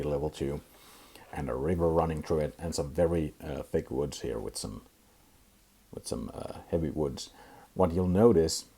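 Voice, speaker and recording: A young Finnish man with a Finnish accent, hosting a casual hobby review vlog, talking in a halting way with many fillers and restarts. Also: extremely low pitch, mellow, slightly rough. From Finland. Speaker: tabletop gaming channel host.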